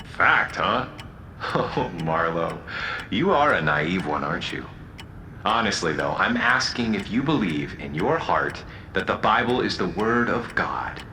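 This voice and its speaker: An actor playing a mocking, menacing male prisoner in a radio drama, theatrical and softly condescending. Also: low voice, sing-song, measured pace, slight background noise, full character voice.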